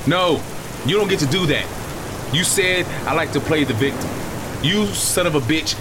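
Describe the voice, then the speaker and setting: An actor playing a bitter, grieving brother who talks in a raw, anguished way. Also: male voice, medium pitch, lightly acted. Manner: raw, anguished, shifts from resentful to shouting. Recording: noisy storm backdrop.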